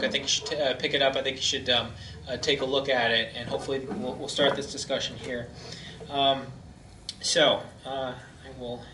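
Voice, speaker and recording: A bright-toned middle-aged man speaks with many pauses and fillers, introducing a guest at a small community forum. His voice rings through an echoey hall and is picked up from far off, with a faint hiss underneath. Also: mid-range voice. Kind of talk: informal talk introduction.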